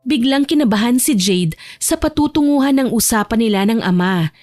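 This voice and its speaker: High-voiced woman narrating a story. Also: expressive, moderate pace, a little mellow, dry recording, clean studio sound, soft background music. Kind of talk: dramatic scripted storytelling narration.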